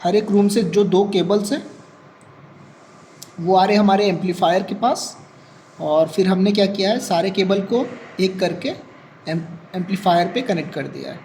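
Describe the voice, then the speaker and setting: Male tutorial presenter, high voice, methodical and repetitive hands-on explanation with English terms mixed in, faint noise behind. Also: normal speed, pauses often, a little mellow. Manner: step-by-step, repeats points, mixes English terms into speech. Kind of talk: practical hands-on demonstration.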